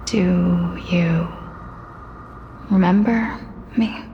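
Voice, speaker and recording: A woman voicing a serene, melancholy death spirit in a fantasy video game, calm, hushed and quietly knowing. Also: on the low side, drawn-out words, lo-fi sound, slight background noise. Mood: wistful. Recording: long echoing reverb, faint ambience.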